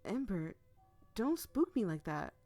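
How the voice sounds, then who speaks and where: A woman's voice: medium pitch, big pitch swings, measured pace, warm tone, dry recording, faint music behind. A woman with a cold-worn voice voicing a sweet, anxious young student boyfriend in a visual novel, speaking earnestly. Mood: startled. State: losing voice with a cold.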